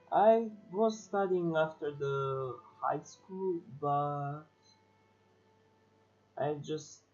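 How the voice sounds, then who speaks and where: A man from Serbia streams, talking very slowly and hesitantly as he thinks aloud. His voice sits a bit high, and the mic sounds slightly dull, with faint game music underneath. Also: darkish tone. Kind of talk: casual stream chat.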